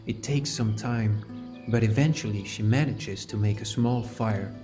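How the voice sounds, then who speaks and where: A man playing a calm, caring fantasy adventurer and mentor, narrating his journey in a measured, earnest first person for an audiobook. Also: on the low side, over music, lightly acted. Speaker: narrator and lead character.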